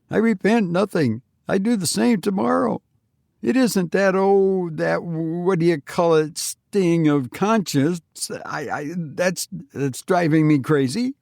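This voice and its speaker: A big, guilt-ridden adventurer played by a man reading a story. His voice is pushed up in pitch, full of defiant, mocking bravado that frays into fearful agitation. The recording is dry and close. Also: creaky, a little mellow, no echo, full character voice. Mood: tormented fear.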